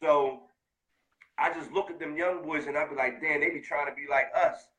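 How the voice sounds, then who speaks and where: American man, heartfelt, slangy, bright tone. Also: on the high side, moderate pace, poor audio. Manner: heartfelt, street slang, animated. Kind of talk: casual conversation.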